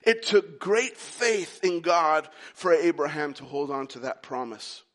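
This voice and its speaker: A man delivers a sermon in a high voice, taking his time with each phrase. He drives his points home through repetition and questions put straight to the congregation. His exhortations swing between loud insistence and quieter moments. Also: measured pace. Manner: emphatic, repetitive rhetorical questions. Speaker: preacher.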